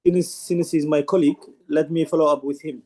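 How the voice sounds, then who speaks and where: A man, a participant in an online panel, who explains at length in an earnest, halting way with many restarts. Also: on the high side, fairly even intonation, darkish tone. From Somalia. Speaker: conference participant asking a question.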